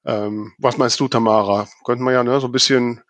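A man's voice: mid-range voice, fairly even intonation, a little fast, a bit bright, no echo. A man hosting a podcast in casual, informal chat, with a joking, check-in way of talking. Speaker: podcast host.

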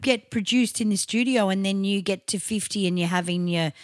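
An Australian woman co-hosting a podcast, chatting crudely with lots of swearing, in a high, trained singer's voice. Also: a little fast, no echo, studio quality. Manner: crude, swearing, outspoken banter. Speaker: podcast co-host. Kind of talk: casual chat.

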